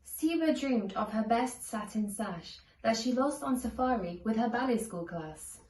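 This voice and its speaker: Echoey, higher-pitched woman reading a storybook. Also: expressive, measured pace, a little mellow. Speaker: storybook narrator. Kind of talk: scripted read-aloud children's story narration. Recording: echoey room, distant from microphone.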